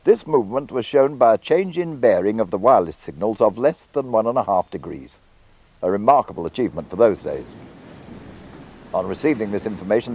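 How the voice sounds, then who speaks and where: A British man presenting a documentary, telling the story in a measured, scripted way with conversational asides. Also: on the low side, darkish tone, a little hiss or hum.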